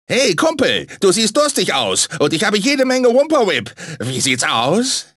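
High-pitched manic fruit mascot. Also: male voice, huge pitch swings, measured pace, a bit bright, no room sound, clean studio sound, full character voice. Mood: cheery salesman. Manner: theatrical, swinging between sugary salesman and irritable grumbler. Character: manic grumpy talking fruit mascot hawking juice drinks. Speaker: video game character actor. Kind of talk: cartoon video game voice acting.

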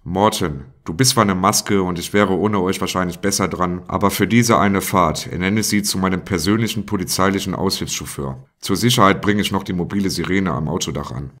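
Mann spielt tiefen, ruppigen Kommissar. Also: male voice, low voice, a little fast, few pauses, a bit bright. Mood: urgent, bossy. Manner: blunt, coarse. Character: crude, grumbling, self-important male police inspector. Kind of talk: parody detective audio drama.

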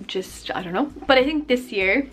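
A woman in her twenties rambling casually to camera in her bedroom, chatty and self-deprecating, her voice a little hoarse. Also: fairly high pitch, expressive, normal speed, fairly bright tone. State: losing her voice, coughing. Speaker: YouTuber. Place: bedroom, indoors. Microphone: camera on tripod at arm's length.

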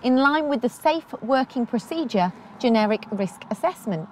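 A woman's voice: on the high side, lively intonation, normal speed, slight background noise. A British woman in her thirties presenting scripted instructions for a training film.

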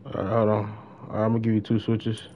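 A man's voice: on the low side, completely flat delivery, quick pace, mellow, muffled recording, a little hiss or hum. A young adult man chatting casually in street slang as he streams live gameplay. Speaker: game streamer.

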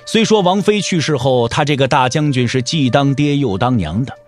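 A man narrating an audio drama with dramatic, expressive scripted storytelling. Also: mid-range voice, moderate pace, soft background music.

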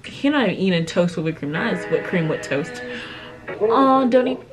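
A woman's voice: lowish voice, animated, normal speed, low-quality recording. An eighteen-year-old woman reacting casually to videos at home, laughing often and talking to viewers as y'all. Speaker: reaction vlogger. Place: indoor room at home. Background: music from the video she is reacting to.

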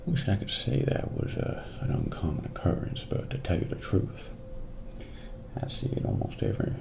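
A man playing a folksy small-town motel clerk in a soft-spoken ASMR role play, with a Southern American accent, breathy and gravelly, often near a whisper. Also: low voice, sing-song, full character voice. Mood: folksy, unhurried. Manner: slow, drawling, folksy, hesitant pauses. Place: small dim office at a desk. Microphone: close binaural microphone. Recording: noisy close binaural recording, room ambience.

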